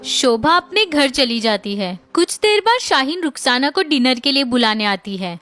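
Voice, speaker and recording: A woman reads a scripted tale for children as the storyteller. Her voice is high, bright and very animated in pitch. Light music plays behind the narration. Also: moderate pace.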